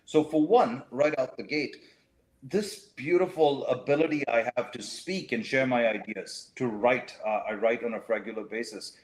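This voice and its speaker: A middle-aged man raised in the Middle East, speaking as a talk show guest in a thoughtful, measured way with frequent fillers and restarts. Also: medium pitch, low-quality recording. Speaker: guest, writer and educator. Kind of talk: reflective talk show conversation.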